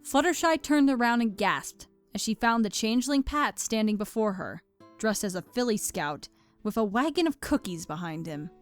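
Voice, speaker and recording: A woman's voice: fairly high pitch, lively intonation, normal speed, soft background music. A woman reading scripted story narration as the narrator of a fan fiction audio drama.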